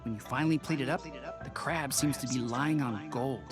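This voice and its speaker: An actor voicing a polite, gracious restaurant owner with a courteous, smooth manner. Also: mid-range voice, normal speed, close to an everyday voice. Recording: game audio with music behind.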